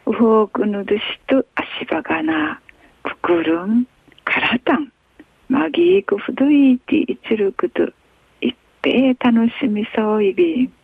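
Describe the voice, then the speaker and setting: A woman radio host reading a newspaper article aloud in a slow, measured way, with an Okinawan accent. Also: fairly high pitch, animated, creaky, breathy edge, dry recording. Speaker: radio news reader. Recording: tinny phone-like radio sound.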